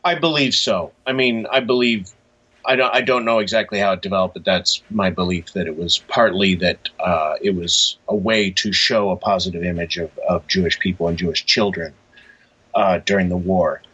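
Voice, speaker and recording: A man's voice: low voice, fairly even intonation, normal speed, bright, forceful tone, slightly rough, muffled recording. A man speaking as an interview guest, reflective and hesitant, often restarting his phrases.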